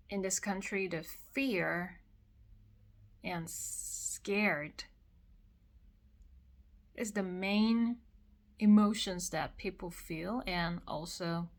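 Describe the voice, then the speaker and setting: A woman from Korea with a Korean accent, speaking in English as a second language. She talks in a personal, emotional monologue after crying, halting and repeating phrases. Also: on the low side. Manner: slow, halting, repeats phrases, many pauses. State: has been crying. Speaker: vlogger.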